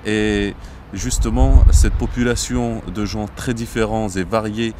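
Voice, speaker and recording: A man in his thirties from Morocco, a border police captain, speaking in a measured interview with frequent hesitations. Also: lowish voice, fairly even intonation, background noise. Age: 30s. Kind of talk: interview monologue. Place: outdoors near traffic.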